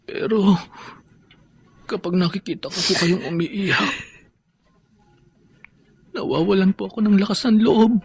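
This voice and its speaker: An actor with a Filipino accent playing a gentle, sickly younger son, soft and pleading, in a radio drama. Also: male voice, high-pitched, steady tone, measured pace, creaky, pauses often, slightly rough, full character voice. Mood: sad, pleading. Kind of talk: Tagalog radio drama acting.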